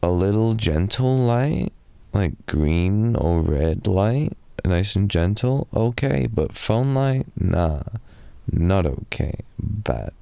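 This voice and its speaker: Deep gravelly British man murmuring softly. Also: expressive, takes it slowly, no echo. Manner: soft, drowsy, rambling with slips into whispers. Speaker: ASMR performer. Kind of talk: improvised ASMR boyfriend roleplay.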